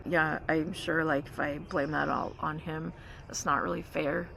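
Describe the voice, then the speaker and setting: A woman's voice: low-pitched, fairly even intonation, a little slow, vocal fry, fairly bright tone, rough recording. A woman vlogger telling a story in a casual, chatty way, full of "like" and "you know". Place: forest campsite by a car. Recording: outdoor noise with vehicle hum.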